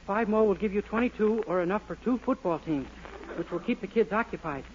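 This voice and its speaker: Casting: actor as guileless boyish youth, high voice, quick earnest delivery, vintage radio comedy. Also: male voice, lightly acted. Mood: reciting earnestly. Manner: innocent, guileless. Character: naive, earnest, boyish young man. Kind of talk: 1940s radio sitcom acting. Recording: muffled, noisy old radio recording.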